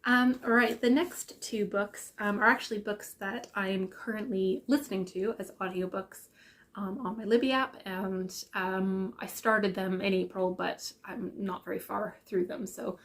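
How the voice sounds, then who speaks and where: A young adult woman with a congested, croaky voice from a sinus infection, chatting casually about books with many ums and self-corrections. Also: medium pitch, fairly even intonation, a little slow. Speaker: book vlogger. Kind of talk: casual book chat.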